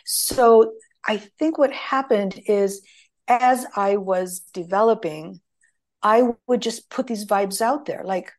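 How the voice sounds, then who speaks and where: Slow-talking woman with many restarts. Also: medium pitch. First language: Greek. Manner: rambling, many restarts and fillers. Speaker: guest. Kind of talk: conversational storytelling.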